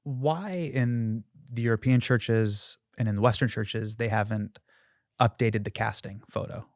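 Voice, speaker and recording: A man in his forties hosting a show, moving between comedic monologue and interview with a quick, playful, punchline-driven delivery. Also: on the low side, no echo, studio quality. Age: 40s. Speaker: host.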